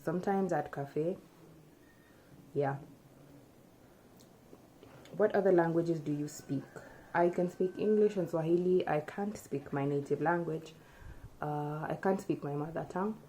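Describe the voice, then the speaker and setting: A young woman vlogger from Kenya answering tag questions in relaxed, chatty talk, often thinking aloud, recorded by a camera in front of her in a small room. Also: deep voice, unhurried, pauses often, slight background noise, poor audio. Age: young adult. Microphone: camera in front at close range.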